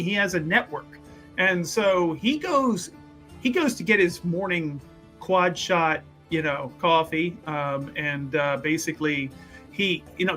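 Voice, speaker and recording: A man playing a tabletop roleplaying game, casually making up his character's story in an animated way. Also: a little high. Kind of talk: casual improvised storytelling, describing own character.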